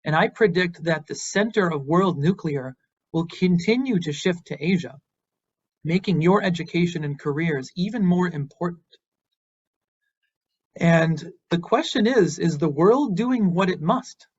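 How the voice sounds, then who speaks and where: A man's voice: fairly high pitch, lively intonation, a little slow, husky. Earnest American man lecturing, muffled sound. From Boston, United States. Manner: earnest and encouraging, with some fillers. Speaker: university lecturer. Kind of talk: invited lecture, online.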